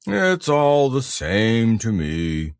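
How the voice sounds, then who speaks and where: A storybook donkey, old and full of grievance. The voice sits low and drags very slowly, swinging through weary, ponderous complaints with gloomy resignation. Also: male voice, very animated, smooth, dry recording, clean studio sound, full character voice. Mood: resigned, grumpy. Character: gloomy, grumbling, slow-spoken old donkey.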